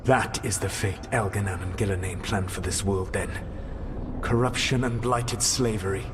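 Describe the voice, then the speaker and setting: An actor playing a proud, weary ancient mage in a fantasy video game, speaking in measured, formal phrases. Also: male voice, lowish voice, fairly even intonation, background noise, lightly acted. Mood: grave, bitter. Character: solemn, proud, weary ancient male mage, grave and self-justifying.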